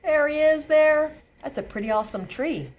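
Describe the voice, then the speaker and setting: Animated woman reading a picture book aloud. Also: high-pitched, moderate pace. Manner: animated, explains words and pictures simply. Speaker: children's librarian reading at storytime. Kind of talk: expressive picture-book read-aloud with asides to young children.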